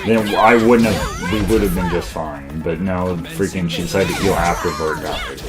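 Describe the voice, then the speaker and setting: Very deep-voiced man gaming with a friend. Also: normal speed, a crowd in the background. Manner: wry, exasperated about the game. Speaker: co-host, gamer. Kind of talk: casual let's-play commentary. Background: game music.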